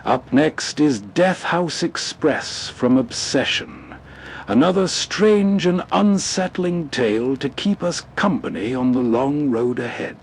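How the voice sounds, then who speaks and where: A man's voice: on the high side, lively intonation, slow, slight background noise. A man hosting with scripted, atmospheric narration. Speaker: host.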